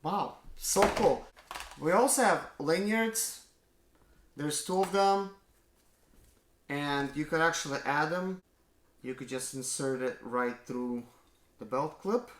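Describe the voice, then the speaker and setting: A man casually unboxing a gadget on his vlog, often exclaiming with excitement. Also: a little high, slow pace, pauses often. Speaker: mobile mechanic YouTuber. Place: indoors at home. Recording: echoey room with faint noise.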